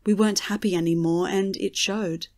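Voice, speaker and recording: A woman's voice: medium pitch, fairly even intonation, normal speed, darkish tone, close to an everyday voice. A woman acting as a solemn funfair fortune-teller in an audio drama, speaking in an earnest, dramatic storytelling manner. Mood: sorrowful, reproachful.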